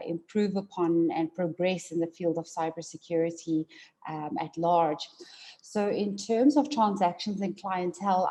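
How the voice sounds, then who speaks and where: A woman from South Africa, an attorney giving a formal conference presentation in a measured way, with frequent fillers and repeated words. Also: fairly low pitch, dark tone.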